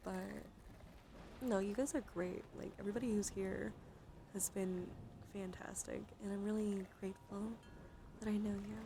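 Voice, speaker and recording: Creaky-voiced woman chatting on a noisy livestream. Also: medium pitch, steady tone, moderate pace. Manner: informal, slangy, chatty. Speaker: livestream host, ASMR streamer. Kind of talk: casual livestream chat to viewers.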